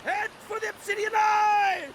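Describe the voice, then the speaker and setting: A man voicing a panicky, high-strung villager in a video game, urgent and alarmed. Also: flat delivery, a little slow, fairly bright tone, shouting, faint music behind.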